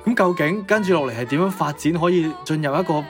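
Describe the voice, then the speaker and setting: Higher-voiced male co-host. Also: fast. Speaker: radio co-host. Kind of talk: casual co-host chat. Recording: faint music behind the voice. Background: music.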